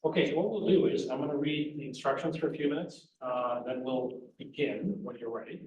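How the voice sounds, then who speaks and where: A man serving as competition judge, reading out rules in a measured way with frequent fillers. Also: medium pitch, recorded from a distance, muffled recording. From United States. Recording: echoey room heard from afar, faint noise.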